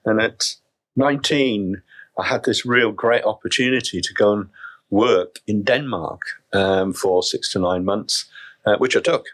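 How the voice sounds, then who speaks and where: A middle-aged man from South Wales speaks in a low, husky voice, with only a faint trace of a Welsh accent left. He talks in a measured, reflective way as a chief executive being interviewed, often restarting his sentences. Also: a bit bright. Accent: faint Welsh, mostly neutral. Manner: measured, with frequent restarts and fillers.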